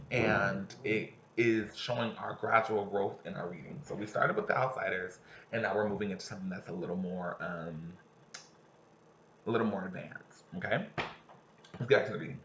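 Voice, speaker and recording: A man teacher who reads aloud and talks casually with his students. His voice is bright and forceful. The recording has a roomy echo and a slightly dull sound. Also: fairly low pitch, a little hiss or hum. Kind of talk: read-aloud lesson with discussion.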